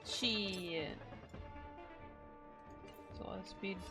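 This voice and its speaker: A woman's voice: medium pitch, very slow. A woman chatting casually and informally as a game streamer, reacting in short bursts. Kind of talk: casual livestream chat over gameplay. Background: video game music and dialogue.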